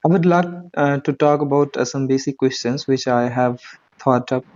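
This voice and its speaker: A man learning spoken English in an online lesson, speaking politely and hesitantly with many pauses. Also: mid-range voice, a little mellow, highs cut off. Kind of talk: online tutoring conversation.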